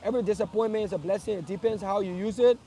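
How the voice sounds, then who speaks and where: A man's voice: high-pitched, rapid-fire, dark tone. A young man from Liberia, speaking earnestly to his followers in a casual live address, often repeating and restarting phrases. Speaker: streamer.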